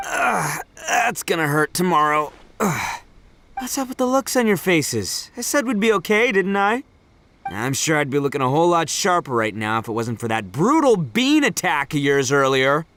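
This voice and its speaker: A man's voice: fairly high pitch, big pitch swings, normal speed, a bit bright. A man voicing a brash, big-hearted young oni gang leader in a video game, in a boisterous, slangy, easygoing manner. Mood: sore, cocky. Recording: music under the voice.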